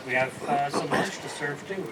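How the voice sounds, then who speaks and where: A man's voice: a little high, normal speed, over a noisy crowd. A man making loose, rambling announcements about the schedule of an outdoor ceremony. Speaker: event organizer making announcements. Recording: echoey, distant, noisy.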